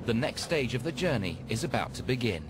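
A man's voice: mid-range voice, big pitch swings, a little fast, noisy background. A man reading scripted narration for a television documentary. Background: music.

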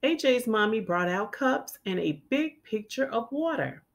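A woman reading a children's storybook aloud as a teacher would, expressively and with clearly enunciated words for young listeners. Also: on the high side, animated, measured pace.

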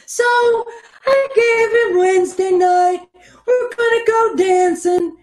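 A woman's voice: very high voice, takes it slowly, a little mellow. A middle-aged woman playing an airy, oblivious secretary in an old-time radio comedy, over a roomy video call. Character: ditzy, oblivious, self-absorbed female office secretary. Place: indoors at home on a video call.

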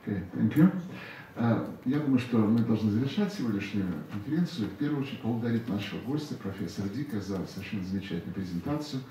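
A man chairs a conference and delivers formal closing thanks at a quick pace. His voice is low and mellow. He sounds distant in a strongly echoing hall. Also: expressive. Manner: formal, fast and fluent. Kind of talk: closing remarks. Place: large lecture hall. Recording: echoey hall, far off, faint hiss.

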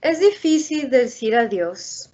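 Woman, storybook reader for small children. Highish, animated delivery, muffled video-call sound. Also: a little slow. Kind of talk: children's picture book read-aloud in Spanish.

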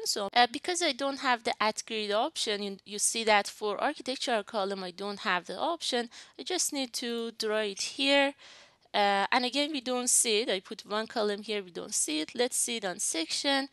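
A young adult woman teaching a screen-recorded software tutorial, walking through each step and thinking aloud as she works at a desk. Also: fairly high pitch, normal speed, clean tone, dry recording. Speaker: instructor. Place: indoors at a desk.

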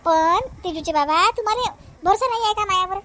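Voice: extremely high pitch, fairly quick, pushed and bright. A cartoon voice playing an emphatic, quarrelsome village housewife in a Varhadi Marathi accent from Vidarbha, repeating words in comedy dialogue. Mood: defiant. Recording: noticeable background noise.

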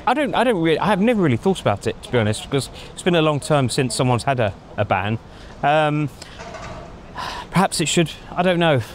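A middle-aged man giving measured opinions in a casual outdoor chat, thinking aloud with frequent ums, on a lapel mic. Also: a little high, very animated, a little hiss or hum. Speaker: pundit. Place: outdoors in a racing paddock at night. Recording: faint outdoor street ambience.

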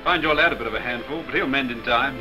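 An actor in his thirties playing a polite, modest Polish Air Force flight lieutenant who speaks crisp, careful English, in a 1940s film. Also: medium pitch, fast talker, close to an everyday voice, low-quality recording. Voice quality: crisp, well-spoken English. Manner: modest and courteous. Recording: 1940s film soundtrack with music behind.

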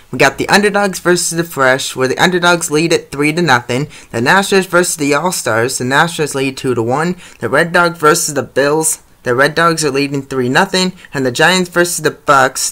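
A male announcer narrates an animated hockey series in a fairly high voice. He reads scripted play-by-play and calls out the scores. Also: normal speed, hardly any pauses.

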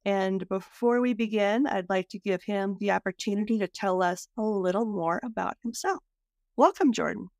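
A woman's voice: mid-range voice, moderate pace, darkish tone, no echo. Woman podcast host, close dry sound. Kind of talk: casual hosting and chat.

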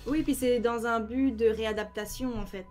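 French woman in her 30s, casual interview over video call, lively talker, bit of music in the back. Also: on the high side, moderate pace. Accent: French, with Quebec expressions. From France. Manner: animated, explains with many examples, gestures with hands. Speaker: cybersecurity trainer, guest. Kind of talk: casual interview on a live stream. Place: home room, indoors.

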